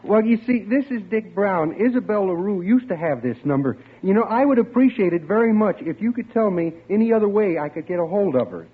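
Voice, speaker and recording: A man's voice: high-pitched, lively intonation, a little fast, hardly any pauses, breathy edge. An actor playing an eager, lovestruck bank clerk who narrates his own story in a folksy, daydreaming way in a 1940s-style radio drama. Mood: eager, polite. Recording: tinny old radio recording with music.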